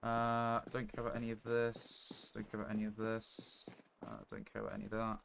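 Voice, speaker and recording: Low-voiced man streaming a game. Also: flat delivery, fairly quick. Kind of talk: casual live gameplay commentary. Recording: clear background noise, clicks.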